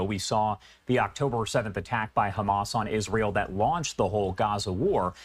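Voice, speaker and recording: A man anchoring live broadcast news, putting questions to a guest in a polite, orderly way. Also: medium pitch.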